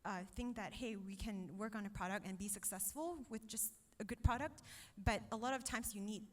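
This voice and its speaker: Woman, 30s, from Malaysia; fluent on-stage panel guest, mid-pitched voice, long hall reverb. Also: fairly quick. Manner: fluent, frequent "um" and tag "right?". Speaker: startup agency chief executive. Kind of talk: on-stage interview answers.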